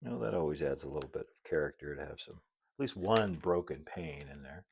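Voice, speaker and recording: A middle-aged man with a very low voice hosts a craft tutorial. He talks through each step in an easygoing, folksy manner, dropping in chatty asides as he goes. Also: lively intonation, fairly quick, creaky, darkish tone, muffled recording, a little hiss or hum. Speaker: model railroad builder and video host. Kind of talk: casual hobby tutorial narration.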